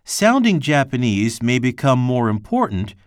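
A man reading a textbook passage aloud for a language lesson, slow and careful, with clear pauses between phrases. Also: mid-range voice, sing-song, dry recording, clean studio sound. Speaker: textbook reader.

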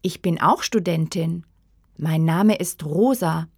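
Slow, gravelly-voiced woman reading. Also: sits low, a little mellow, dry recording, clean studio sound. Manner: careful, deliberate enunciation. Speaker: course audio speaker.

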